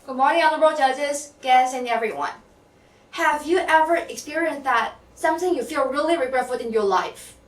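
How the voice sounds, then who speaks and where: A teenage girl gives a confessional contest speech, pausing with ums and uhs as she tells it. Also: high-pitched. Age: teens. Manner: confessional, with fillers like um and uh. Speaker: student contestant. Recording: echoey hall, mic at a distance.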